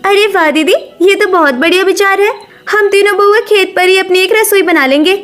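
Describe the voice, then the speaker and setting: A woman's voice: very high-pitched, a little fast, fairly bright tone. A woman voicing a caring, eager young daughter-in-law in a cartoon village story. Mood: eager, helpful. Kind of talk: Hindi cartoon story dialogue.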